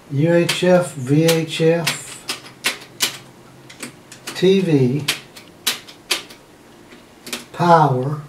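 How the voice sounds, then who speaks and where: A roomy, noisy recording picks up an older man at some distance from the camera. He talks slowly and casually in short fragments, thinking aloud as he handles things at a table. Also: on the high side. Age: older, around 60s. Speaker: hobbyist demonstrator testing old electronics. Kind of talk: casual, muttered hands-on demonstration. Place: indoor room at home, seated at a wooden table. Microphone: camera mic a few feet away.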